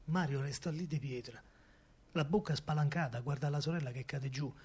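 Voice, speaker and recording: An actor playing a coaxing, protective working-class man of wartime Rome, narrating colloquially in a husky voice with a Roman accent, as in a radio drama. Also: on the high side, brisk. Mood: hushed, shocked. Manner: colloquial, animated storytelling. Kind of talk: dramatic first-person radio monologue in dialect.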